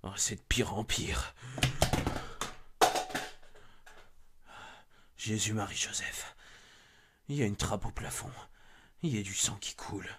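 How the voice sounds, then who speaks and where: Guy doing a scared search party man radioing in, horror story, sharp urgent voice. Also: fairly low pitch, moderate pace, slight background noise, pitched below the performer's own voice. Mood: horrified. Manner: urgent, whispering, breaking into screams and sobs. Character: panicked male search-party member reporting by radio. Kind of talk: dramatised horror story acting.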